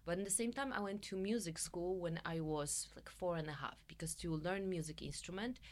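A middle-aged woman with a Polish accent talks in a fairly low register. She is chatty and effusive, answering casually as a guest in an interview. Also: a little fast. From Poland. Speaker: podcast guest, musician and coach.

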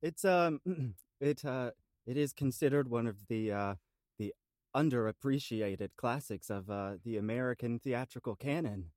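A man co-hosts a casual podcast in a halting, hesitant manner. His pitch is average and the sound is very dry. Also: a little mellow, no room sound. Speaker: podcast co-host. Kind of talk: casual chat.

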